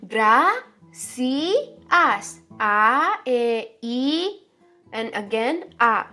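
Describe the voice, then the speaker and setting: Woman, from India, Spanish teacher, high voice, very slow, repeats words, faint music behind. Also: lively intonation, bright, forceful tone. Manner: slow, drawn-out pronunciation drills, repeating words. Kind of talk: online language lesson.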